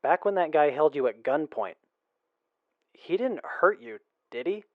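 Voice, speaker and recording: A man's voice: on the high side, sing-song, a little slow, gravelly, no echo, phone-quality sound, heavily acted. A man playing a sincere, principled wasteland traveler in an audio drama, speaking a little hesitantly. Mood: concerned, hesitant.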